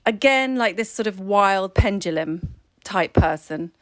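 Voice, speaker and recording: A woman's voice: medium pitch, expressive, unhurried, no echo. A woman chatting casually with a close friend, self-mocking and rambling, laughing often at herself. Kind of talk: casual chat between friends.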